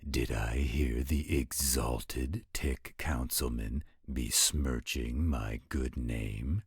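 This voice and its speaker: A man's voice: rumbling low, drawn-out words, slightly rough, dry recording, exaggerated voice. A man voicing supernatural male characters at a dinner party in a theatrical roleplay audio drama. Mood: lordly, amused.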